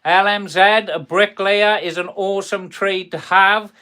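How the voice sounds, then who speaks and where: A man's voice: high voice, steady tone, a little slow, pushed and bright, smooth. A man from South Wales with a South Welsh accent, a carpenter chatting on a live stream from his workshop, rambling in a friendly way as he answers viewers' comments. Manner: friendly, rambling, calls viewers "boys" and "buddy".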